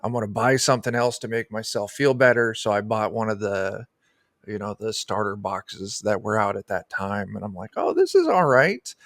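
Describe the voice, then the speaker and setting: A man's voice: on the low side, expressive, normal speed, fairly bright tone, dry recording. Jokey man, dry close sound. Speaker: guest, streamer. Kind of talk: casual chat. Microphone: close desk microphone on a video call.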